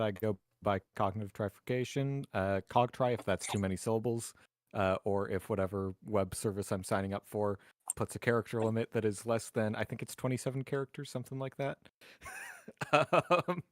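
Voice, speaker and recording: A man's voice: lowish voice, moderate pace, no room sound, clean studio sound. A man chatting casually as a tabletop game player, wordy and self-deprecating in his humour. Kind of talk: casual chat.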